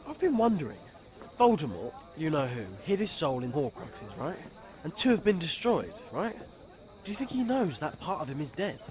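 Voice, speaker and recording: A man's voice: a little high, big pitch swings, normal speed, a crowd in the background. A man playing a blunt, laid-back teenage wizard sidekick with a British accent in a video game. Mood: musing. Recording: game music behind.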